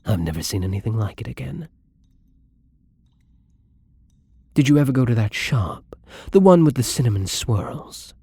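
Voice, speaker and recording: Husky, creaky, soft-spoken vampire played by a man. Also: mid-range voice, sing-song, no room sound, exaggerated voice. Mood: wistful, fond. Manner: soft, tender, halting, wistful. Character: lonely, gentle, wistful male vampire captive in castle. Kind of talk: intimate ASMR roleplay acting.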